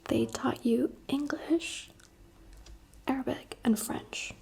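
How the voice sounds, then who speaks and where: A woman's voice: high-pitched, slow, creaky, darkish tone, slightly rough, poor audio. Whispering young woman, chatty ASMR. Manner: unvoiced whisper, chatty and candid. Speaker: ASMR creator.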